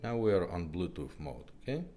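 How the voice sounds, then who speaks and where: A man's voice: deep voice, sing-song, normal speed, dark tone, soft background music. A man giving a casual product demonstration, explaining things step by step in short, simple phrases like a technician. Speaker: car radio technician presenting a demo.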